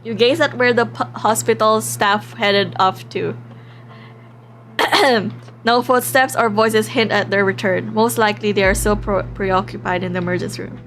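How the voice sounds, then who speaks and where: A woman livestreaming a visual novel, reading aloud with expressive, giggly reactions and the odd stumble over words. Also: medium pitch, normal speed. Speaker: live streamer playing and reading a visual novel. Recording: music playing behind the voice.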